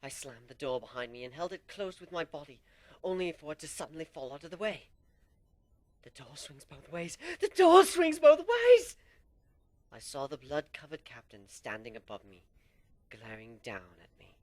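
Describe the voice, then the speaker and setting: A man doing an expressive dramatic reading of fan fiction as narrator. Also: normal speed. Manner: expressive, swinging pitch, hushed in tense parts. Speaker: amateur story reader.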